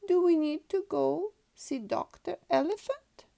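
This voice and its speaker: A woman voicing an eager, excitable little girl pig in a children's storybook, in a childlike, exclaiming manner. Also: high-pitched, measured pace, creaky, pitched above the performer's own voice, heavily acted. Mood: worried, curious.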